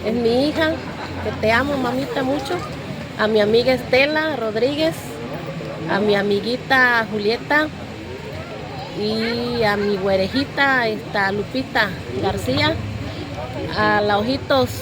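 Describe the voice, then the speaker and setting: A woman's voice: on the high side, measured pace, a crowd in the background, low-quality recording. A middle-aged or older woman with a Mexican accent, chatting casually and affectionately in a street interview outdoors. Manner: affectionate, using diminutives. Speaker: passer-by being interviewed. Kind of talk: casual chat, sending greetings. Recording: busy street with people behind.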